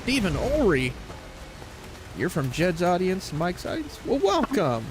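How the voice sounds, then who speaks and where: A man's voice: high voice, huge pitch swings, unhurried, background noise. An American man in his late thirties chatting casually as he live streams a game, often distracted and thinking aloud. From west coast of the United States. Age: late 30s. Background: video game music.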